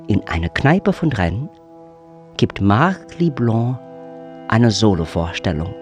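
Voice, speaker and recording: Slow, measured male narrator over music. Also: on the low side, very animated. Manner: measured, storytelling. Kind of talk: scripted radio drama narration.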